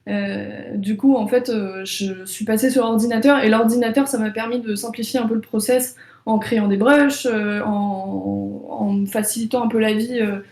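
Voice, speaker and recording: A woman's voice: fairly high pitch, fairly even intonation, moderate pace, vocal fry, a little mellow, reverberant. A young French woman, an illustrator, chatting casually in an interview with many fillers and restarts. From France. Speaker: illustrator and author.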